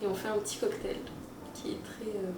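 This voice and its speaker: A young woman of Algerian origin, talking earnestly about her experience in a casual interview and pausing often with "euh". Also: mid-range voice, little pitch movement, reverberant, distant mic, background noise. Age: young adult. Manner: earnest, with frequent "euh" pauses and restarts. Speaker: student interviewee.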